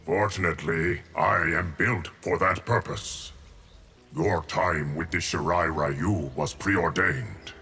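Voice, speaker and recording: An actor playing an ancient, immortal guardian of time in a video game, solemn, measured and formal. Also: male voice, low voice, steady tone, pushed and bright, faint music behind, exaggerated voice.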